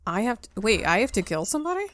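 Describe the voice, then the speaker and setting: A woman's voice: medium pitch, big pitch swings, fast. A woman giving casual let's play commentary as she plays, reacting and talking back to the game, close to the mic. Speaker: gaming video host, player.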